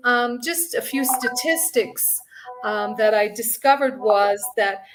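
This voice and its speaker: A middle-aged woman from Canada giving an earnest conference speech, with many ums and pauses between phrases. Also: on the high side, a little hiss or hum, rough recording. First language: English. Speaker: guest speaker.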